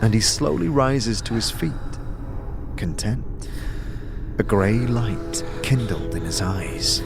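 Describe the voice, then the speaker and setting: Deep-ish guy narrating fantasy story like a game master, dramatic, measured, music behind. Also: lively intonation, vocal fry. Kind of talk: scripted second-person fantasy narration.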